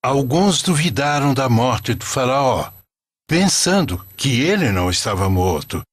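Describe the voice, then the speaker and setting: A man narrating a scripted religious story for an animated film. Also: mid-range voice, slightly rough.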